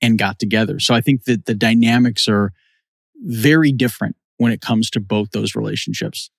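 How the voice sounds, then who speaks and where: A man's voice: on the low side, steady tone, moderate pace, gravelly, dry recording, studio quality. A man giving conversational commentary as a podcast co-host.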